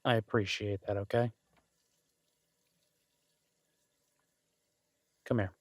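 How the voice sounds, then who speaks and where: A man playing an exasperated but affectionate restaurant chef in an intimate boyfriend audio roleplay, talking conversationally with halting, stammered restarts. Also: lowish voice, steady tone, dry recording, clean studio sound, natural voice. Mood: tender, sincere. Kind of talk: intimate boyfriend roleplay, one-sided casual dialogue.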